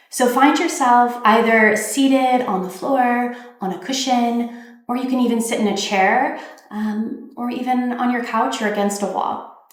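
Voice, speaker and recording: A woman leading a guided meditation, giving gentle, soothing instructions in an unhurried way with pauses. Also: a little high. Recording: very echoey, reverberant room.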